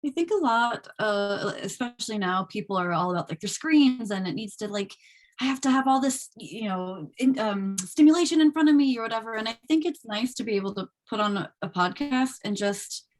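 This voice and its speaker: A woman's voice: on the high side, expressive, fairly quick. A woman answering as an actress guest on a video call Q&A, conversational and loosely phrased. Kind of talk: casual interview answers.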